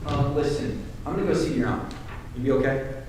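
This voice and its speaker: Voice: medium pitch, fairly quick, natural voice. Echoey, frank man, played by a young actor. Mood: calm. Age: teenage or young adult. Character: frank, unbothered grown man. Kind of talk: high school stage play acting. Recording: echoey stage, distant camera, clear noise.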